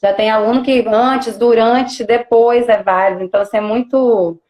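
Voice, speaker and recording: Mulher de voz um pouco aguda, conversa descontraída. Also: female voice, on the high side, moderate pace. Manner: relaxed, chatty, many fillers. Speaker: physical educator and host. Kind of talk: casual live-stream interview.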